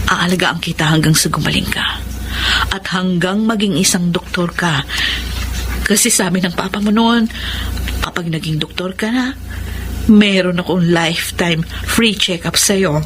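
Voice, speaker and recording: An actress playing a devoted, nurturing adoptive mother in a Filipino radio drama, speaking in a gentle, emotive way. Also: on the low side, measured pace, long pauses, a little hiss or hum. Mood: tender, reassuring.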